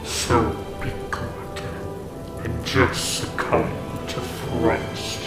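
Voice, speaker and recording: A man voicing a cold, commanding, menacing frost king villain in an audio drama. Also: drawn-out words. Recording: echoey, under loud music.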